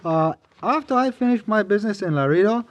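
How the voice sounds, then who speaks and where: An actor playing an eager Chinese hotel servant in a radio western, in halting broken English with a Chinese accent. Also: male voice, high voice, lively intonation. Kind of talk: old-time radio western acting.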